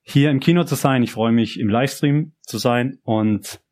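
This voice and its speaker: A man's voice: medium pitch, moderate pace, a little mellow. A young man with a southern German accent giving a personal, conversational youth church sermon, often repeating and restarting phrases. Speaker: preacher.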